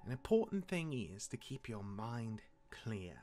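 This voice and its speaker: An actor playing a patient, chatty demigod elder brother and mentor in a fantasy audio drama, instructive and conversational, with music behind him. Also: male voice, mid-range voice, very animated, measured pace, darkish tone. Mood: earnest teaching. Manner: conversational, instructive, hesitant asides.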